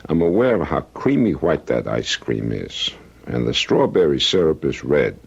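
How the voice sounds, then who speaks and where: A weary veteran policeman in a radio drama, with an extremely low voice full of gravel. He is middle-aged, stubborn and plain-spoken, husky at the edges. The recording is dull and lo-fi. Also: very animated, normal speed, heavily acted. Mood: weary, brooding. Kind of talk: old-time radio drama acting.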